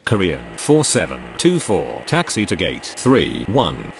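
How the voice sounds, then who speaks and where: A very deep male voice with strongly varied pitch gives terse aviation calls, as a man playing a pilot or controller in a simulator game. There is slight noise behind it. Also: wildly swooping pitch, measured pace, gravelly, exaggerated voice. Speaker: radio voice of airline pilot and air traffic controller. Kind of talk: scripted radio phraseology.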